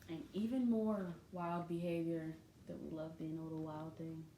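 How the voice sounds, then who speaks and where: Woman reading a storybook in an echoey room. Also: low voice. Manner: expressive, pausing on pictures with informal comments. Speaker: children's story reader. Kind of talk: read-aloud storytelling with casual asides to children. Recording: roomy echo, light background noise.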